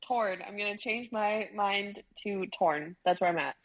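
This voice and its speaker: A woman's voice: mid-range voice, a little slow, muffled recording. A young woman chatting playfully and hesitantly on a live stream from a home mic. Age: young adult. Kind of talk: casual chat.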